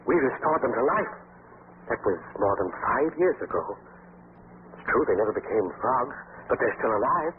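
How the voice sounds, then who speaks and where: A man's voice: medium pitch, lively intonation, lightly acted. Man playing an obsessed, intense radio-drama scientist, tinny recording. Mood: fervent. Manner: intense, halting, repeats himself. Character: obsessed, embittered down-and-out male research scientist. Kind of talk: 1940s radio acting. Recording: tinny, noisy old 1940s radio recording.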